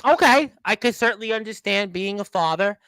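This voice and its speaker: A man's voice: high-pitched, expressive, brisk, sharp tone, clean tone, dry recording. A man hosting a radio interview, asking long, rambling questions that circle back on themselves. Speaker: radio show host.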